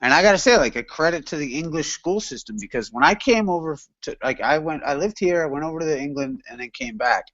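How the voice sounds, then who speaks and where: A man's voice: on the high side, animated, quick pace, hardly any pauses, fairly bright tone, no room sound, dull audio. A man chatting casually as a panelist in an online hangout, rambling and profane, with lots of fillers. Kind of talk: casual chat.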